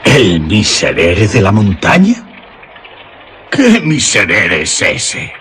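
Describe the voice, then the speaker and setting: Deep, theatrical, impatient musician. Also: male voice, huge pitch swings, takes it slowly, fairly bright tone, slight background noise, heavily acted. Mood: astonished. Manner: theatrical, emphatic declamation. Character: weary male musician, exacting and impatient pilgrim. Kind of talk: radio drama acting.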